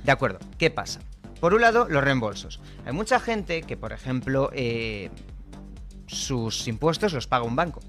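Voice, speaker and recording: A man's voice: mid-range voice, sing-song, moderate pace, fairly bright tone, faint music behind. Man from Spain explaining patiently. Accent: Spanish (Spain). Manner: patient, checks understanding with "¿de acuerdo?". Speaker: guest, communications director. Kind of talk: explanatory interview answers. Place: studio table.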